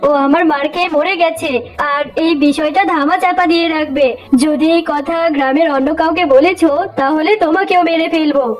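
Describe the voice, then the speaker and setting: A woman's voice: sits high, steady tone, brisk, close to an everyday voice. A woman voicing a cruel, haughty tigress wife in a melodramatic cartoon, scolding and threatening with open contempt. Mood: menacing. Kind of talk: melodramatic cartoon story acting.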